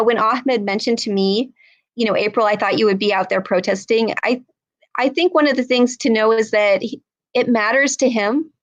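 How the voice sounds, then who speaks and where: A woman giving an earnest, halting talk over a video call, with many fillers and restarts. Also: medium pitch, muffled recording. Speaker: speaker at an online human rights event.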